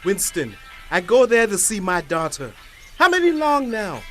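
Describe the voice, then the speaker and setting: Man acting a high-pitched, animated Caribbean gardener. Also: moderate pace, faint music behind, exaggerated voice. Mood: indignant, shocked. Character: feisty, indignant Caribbean gardener, a doting father. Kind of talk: dramatic stage dialogue.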